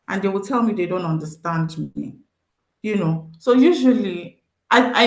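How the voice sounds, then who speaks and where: A woman's voice: medium pitch, roomy sound, rough recording. A woman with a Nigerian accent giving an earnest, encouraging motivational talk to teenagers as a social worker at an event. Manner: earnest, encouraging, repeats phrases for emphasis. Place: event venue.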